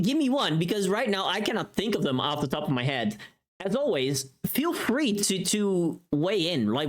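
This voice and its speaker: Low-pitched, animated woman streamer. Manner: playful, teasing, sweary. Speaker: livestream host. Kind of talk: casual livestream chat with viewers.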